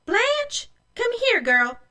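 A woman puts on a bossy storybook mother. She is harsh and commanding, with a raised, swooping pitch. Her orders come out loud and sharp. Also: sing-song, very slow, full character voice.

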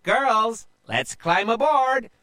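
A man voicing a bold, commanding female pirate captain in a storybook reading, in a shrill falsetto. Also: sing-song, very slow, gravelly, heavily acted.

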